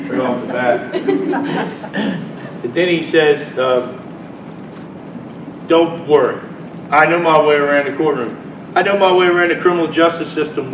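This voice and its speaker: A man's voice: medium pitch, background noise. Froggy-voiced man telling a story. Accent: Maryland. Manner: folksy, animated storyteller. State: froggy voice after bronchitis. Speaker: guest speaker. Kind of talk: storytelling lecture. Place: university lecture hall. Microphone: far room mic. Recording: distant, muffled, echoey hall recording.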